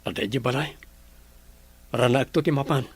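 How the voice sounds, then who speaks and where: A man's voice: medium pitch, normal speed, breathy edge, dry recording, close to an everyday voice. A man with an Ilocano Filipino accent playing a troubled, earnest young man in a radio drama. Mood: earnest, subdued.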